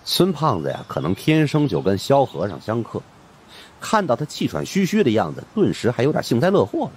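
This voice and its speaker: A man narrating a supernatural mystery audiobook as an animated storyteller. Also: medium pitch, a little fast, slightly rough.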